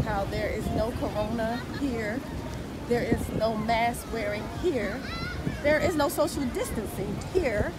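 A woman vlogging as she walks along an outdoor beach, talking slowly and earnestly into a handheld phone. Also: fairly high pitch. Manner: slow, preaching and earnest. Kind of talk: walking vlog narration. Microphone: phone held while walking. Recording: loud wind noise on phone mic. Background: wind and waves.

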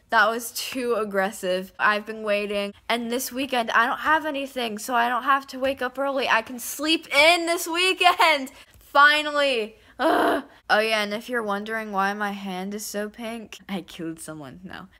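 A teenage girl vlogging casually at home, slightly hoarse and rambling with lots of fillers. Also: medium pitch, pushed and bright. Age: teens. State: slightly hoarse, losing her voice. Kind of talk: casual vlog chat. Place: home living room, then kitchen, indoors. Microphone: phone camera at arm's length.

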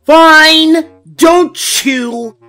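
Grumpy boy beaver for a cartoon: high, sharp, drawn-out grumbling. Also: faint music behind, heavily acted. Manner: grumbling, shouting. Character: grumpy, fuming male cartoon beaver brother.

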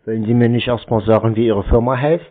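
A man talking casually on the phone, giving curt, stubborn, deadpan replies. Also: medium pitch, quick pace. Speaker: prank call recipient baiting scam callers. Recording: muffled, band-limited phone call audio.

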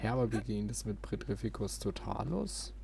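A man with a low voice gives casual, off-the-cuff gameplay commentary. There is faint noise behind him as he talks through what he is doing. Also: expressive, normal speed, creaky, a little mellow. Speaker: let's player.

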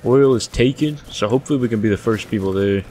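A young man with a deep voice giving casual, joking commentary while playing a survival game, swearing often as he thinks aloud. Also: fast, dark tone, a little hiss or hum, low-quality recording.

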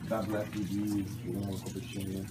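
Halting man giving a backstage tour. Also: fairly low pitch, monotone. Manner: hesitant, halting with fillers. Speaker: backstage performer guide. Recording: noisy backstage, mic at a distance.

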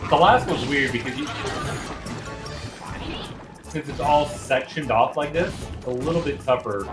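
A man's voice: mid-range voice, measured pace. Male speedrun commentator, casual bantering delivery, roomy sound, game music underneath. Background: video game music.

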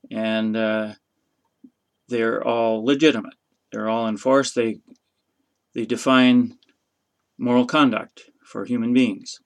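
A man in his fifties giving an informal, unscripted religious teaching, speaking haltingly and thoughtfully as he searches for words, recorded in a small room. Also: mid-range voice. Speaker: host of a religious teaching video.

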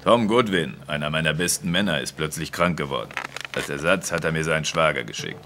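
A gruff ship's steward in his fifties for an audio drama. The voice is extremely low and forceful, a tipsy, disapproving grumble, with clear noise behind it. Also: fairly quick, full character voice. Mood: grumbling. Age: 50s. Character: gruff middle-aged hard-drinking ship's chief steward, grumbling. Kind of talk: German audio drama acting.

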